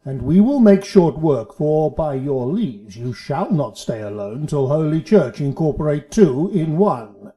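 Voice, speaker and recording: An actor playing a kindly holy friar in a Shakespearean radio drama. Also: male voice, a little high, sing-song, measured pace, hardly any pauses, dark tone. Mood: kindly, brisk. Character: kindly, brisk holy friar marrying lovers.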